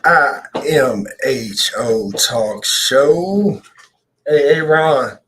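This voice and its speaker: A man's voice: mid-range voice, drawn-out words, slight background noise. A man hosting a radio talk show in a studio, bantering casually with laughter and easygoing slang. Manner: laughing, teasing, relaxed slang. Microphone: studio microphone.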